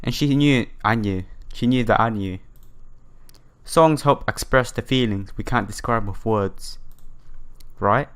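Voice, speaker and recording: A man's voice: lowish voice, a little slow, pauses often, fairly bright tone, smooth. Man with a sore throat reading aloud. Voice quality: slightly broken, sore-throated voice. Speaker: let's player reading a visual novel aloud. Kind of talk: casual playthrough, reading game text with side comments. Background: game music.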